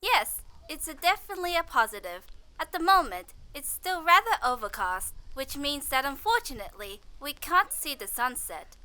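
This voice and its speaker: A woman's voice: high voice, big pitch swings, normal speed, pushed and bright, full character voice. A woman playing a cheerful field reporter on an alien planet in a science fiction audio drama. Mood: cheerful, composed.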